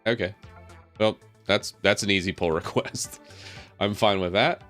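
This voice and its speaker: A man, slightly raspy from a head cold, talks casually on a live stream, thinking aloud as he works with lots of fillers and small laughs. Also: fairly low pitch, measured pace, a bit bright, faint music behind. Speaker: tech streamer. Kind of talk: casual live stream talk while working on screen.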